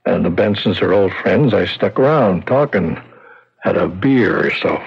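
Gravelly, low-voiced wounded working man. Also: expressive, heavily acted. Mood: folksy recounting. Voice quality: gravelly, husky. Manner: halting, colloquial speech. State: weak, recovering from injury. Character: wounded plumber husband, weak, evasive, folksy working man. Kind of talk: 1970s radio drama acting. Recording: muffled old radio broadcast.

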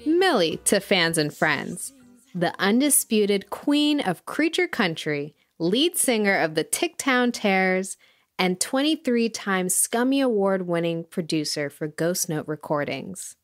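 A woman's voice: medium pitch, big pitch swings, measured pace, no room sound, studio quality, soft background music. A woman playing a knowing documentary host, delivering scripted narration in a polished, wry way. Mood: wry, informative.

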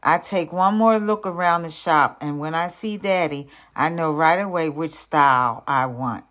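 An older woman with a low-pitched voice reads a picture book aloud for children. Her delivery is expressive and deliberate, in the style of a teacher telling a story.

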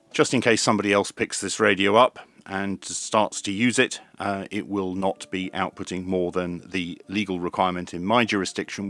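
Man, fifties, low pitch, unhurried pace, methodical product reviewer. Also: a bit bright. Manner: measured, methodical step-by-step explaining. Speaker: presenter of radio equipment reviews. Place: small indoor home radio workshop.

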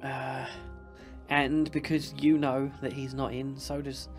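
A man's voice: medium pitch, little pitch movement, slow, soft background music, close to an everyday voice. A man playing an exasperated, sensible young hero in an improvised game roleplay, blunt and often repeating himself. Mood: exasperated, worried.

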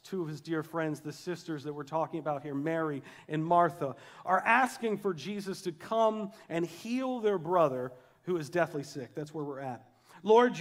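A man in his thirties preaching to a congregation in an informal, emphatic way, with wry asides. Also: fairly high pitch. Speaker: pastor, guest preacher. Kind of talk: sermon to a live congregation. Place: church auditorium stage, indoors.

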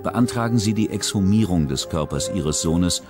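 Deep-voiced man narrating a documentary. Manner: measured, even narration. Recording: music bed under the voice. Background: documentary music.

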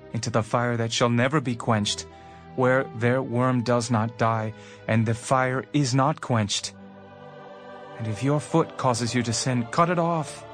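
Guy playing a grave holy teacher in a bible audio drama, deepish measured voice, soft music under. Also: lightly acted. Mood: stern warning.

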